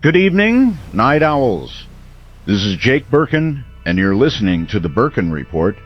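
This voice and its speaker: A man's voice: mid-range voice, big pitch swings, normal speed, husky, muffled recording, soft background music. A man playing a grave, probing late-night radio talk host. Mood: ominous, welcoming.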